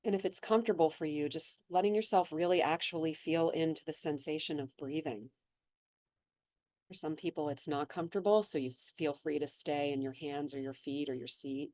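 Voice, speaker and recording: A woman slowly and gently leading a guided meditation, speaking in a soothing way. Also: low voice, fairly even intonation, no echo, clean studio sound. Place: seated in an office chair.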